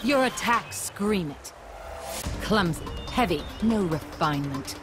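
A woman's voice: medium pitch, expressive, slow, music in the background. A woman playing a haughty, composed military officer in a video game, speaking formally and precisely with cutting disdain. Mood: scornful. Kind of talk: dramatic video game cutscene acting.

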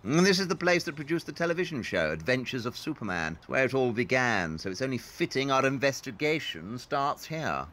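A man's voice: mid-range voice, sing-song, a little fast, few pauses, fairly bright tone. An actor playing a brilliant, self-assured male detective in an audio drama, explaining things in a clipped, superior, matter-of-fact way. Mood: smug, explaining.

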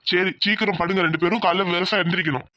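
A woman voicing a gentle village farmer, a husband and father who keeps reassuring his wife. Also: fairly low pitch, steady tone, fast talker, no room sound. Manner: soothing, reassuring.

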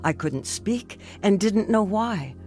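A woman's voice: medium pitch, lively intonation, slow, darkish tone, faint music behind. A young American woman playing a married stroke survivor who tells her story earnestly and reflectively in a radio drama. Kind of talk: dramatized first-person testimony, radio drama narration.

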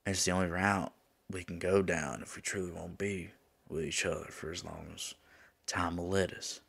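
Man voicing a deep, gravelly lovesick demon. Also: fairly even intonation, moderate pace, a bit bright, exaggerated voice. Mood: pleading, devoted. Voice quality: gravelly, husky. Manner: tender, pleading, folksy turns of phrase. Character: lovesick male demon pleading with his angel lover. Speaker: voice actor in an audio roleplay. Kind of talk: intimate ASMR roleplay acting.

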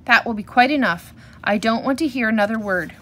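A woman's voice: a little high, brisk, fairly bright tone. A woman voicing a proper adult mama bear in a storybook, firm and admonishing. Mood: scolding.

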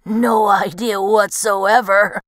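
A woman's voice: mid-range voice, takes it slowly, no echo. A woman voicing a young male fox in a storybook, earnest and naive with a confident streak. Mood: skeptical. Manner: earnest, puzzled, excitable.

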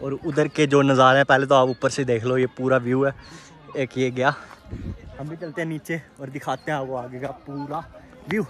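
A man's voice: medium pitch, fairly even intonation, normal speed, fairly bright tone. A man chatting informally to viewers as a travel vlogger, calling them bro. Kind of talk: casual vlog chat to camera.

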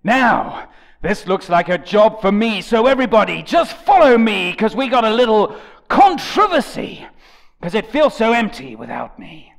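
Man reading lyrics with theatrical drama. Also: gravelly. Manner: theatrical, swinging between shouts and low mutters. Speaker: comedic dramatic reader of rap lyrics. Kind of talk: over-the-top dramatic reading.

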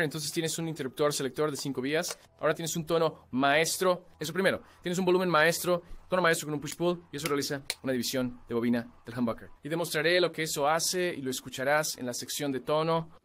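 A man's voice: fairly high pitch, a little fast, hardly any pauses, fairly bright tone. A man from Canada giving a casual, joking guitar review. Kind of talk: product review talk.

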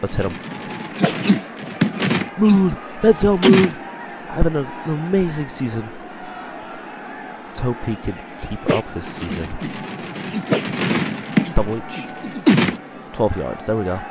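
A man with a stuffy voice from a cold, commentating his own video game play and getting excited on big plays. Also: mid-range voice, takes it slowly, pauses often, heavy background noise. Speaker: gaming channel host.

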